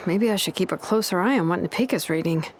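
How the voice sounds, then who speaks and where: A woman's voice: on the low side, expressive, moderate pace, fairly bright tone, a little hiss or hum. A woman voicing an easygoing, knowledgeable young craftswoman in a video game, talking in a casual, explanatory way. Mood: relaxed, wistful. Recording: prominent background music under dialogue.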